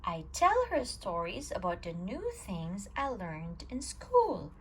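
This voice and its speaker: Slow, expressive woman reading a picture book. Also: medium pitch, slight background noise. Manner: slow, expressive storytelling with a swinging voice. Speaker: storytime reader.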